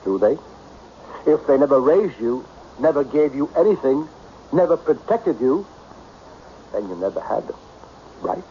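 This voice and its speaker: An actor playing a lonely self-made millionaire, blunt, brooding and self-pitying, in an old-time radio drama style. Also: male voice, medium pitch, animated, a little slow, pauses often, lightly acted. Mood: bitter, dejected. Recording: dull, noisy old radio broadcast.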